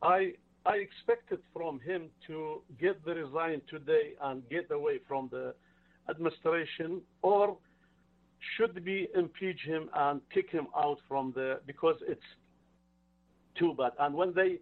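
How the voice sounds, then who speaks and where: Man, English, earnest and halting, tinny faraway phone line. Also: a little high, far from the mic. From Iraq. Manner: halting, earnest, non-native phrasing. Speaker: caller. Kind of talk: phone-in call to a talk show.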